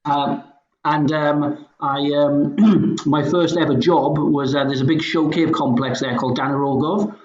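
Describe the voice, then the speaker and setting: A middle-aged man with a soft, anglicised Welsh accent, talking casually as a podcast guest in hesitant, stop-start phrases. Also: on the high side, steady tone, a little slow. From Wales, Swansea Valley. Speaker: podcast guest, company founder. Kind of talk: casual conversational talk. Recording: roomy echo, slightly dull sound.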